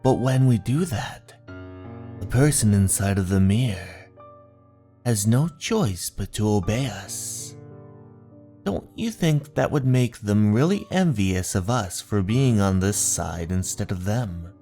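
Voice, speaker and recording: A man voicing an eerie, musing schoolboy in a horror manga dub, deliberate and insinuating, over music. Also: lowish voice, animated, mellow. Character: eerie, musing schoolboy friend posing unsettling questions.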